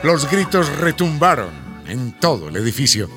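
A man narrating a scripted radio drama as a lively storyteller. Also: on the high side, normal speed. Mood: dramatic storytelling. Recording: music behind the voice. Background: music.

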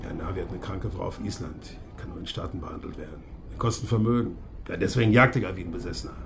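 An actor playing a gruff, defiant and proud whaling gunner in a radio drama, coarse-mouthed and swinging between brooding and boasting. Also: male voice, low-pitched, fairly even intonation, fast talker, a bit bright, faint music behind.

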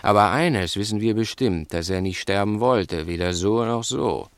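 A low, gravelly male crook acted by a man in a 1960s radio play. He is cunning and menacing, pressing his point with insistent, theatrical questions and talking down to his accomplice. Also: moderate pace, no room sound, clean studio sound, natural voice. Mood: insistent, probing.

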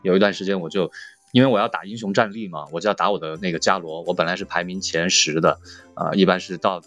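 A man's voice: low-pitched, steady tone, fast talker, fairly bright tone, lo-fi sound, soft background music. A man speaking casually as an interview guest, with a joking, self-assured way of talking. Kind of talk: casual chat.

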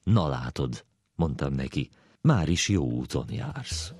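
A man reading a wry literary essay aloud in a measured, deliberate way. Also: rumbling low, sing-song, loud music behind the voice. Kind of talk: dramatic reading of a humorous philosophical essay.

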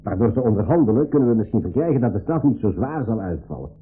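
Practical sidekick in radio drama, quick husky lowish voice, phone-like old recording. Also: male voice, sing-song. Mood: persuasive. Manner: brisk, reasoning. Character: pragmatic, persuasive male companion of a professor. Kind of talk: 1980s school radio play acting.

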